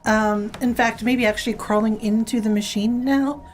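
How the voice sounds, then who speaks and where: A woman running a tabletop role-playing game, narrating in a relaxed way with frequent ums. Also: fairly high pitch, moderate pace, a little hiss or hum. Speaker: game master.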